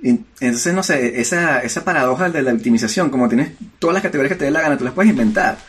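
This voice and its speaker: A man's voice: mid-range voice, lively intonation, quick pace. A man with a Venezuelan accent, co-hosting a podcast in a casual discussion, arguing emphatically with lots of filler words. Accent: Venezuelan Spanish. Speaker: podcast co-host.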